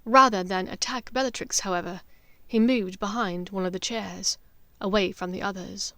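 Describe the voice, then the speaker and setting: A woman narrating a fiction audiobook with dramatic, deliberate storytelling. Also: medium pitch, fairly even intonation, a bit bright, no room sound.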